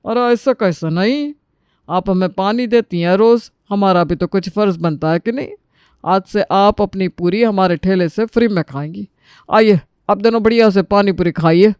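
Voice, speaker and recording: Casting: male actor as chatty cartoon street vendor, notably high and clear, polite and thankful. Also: normal speed, darkish tone, no echo, studio quality, lightly acted. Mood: grateful, friendly. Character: friendly, grateful male street-food vendor. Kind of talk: Hindi cartoon story character voice.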